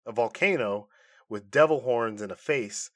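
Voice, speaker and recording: A man's voice: medium pitch, normal speed. A man co-hosting a podcast in a casual, chatty review style. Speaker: podcast co-host. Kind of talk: casual banter reviewing movies and shows.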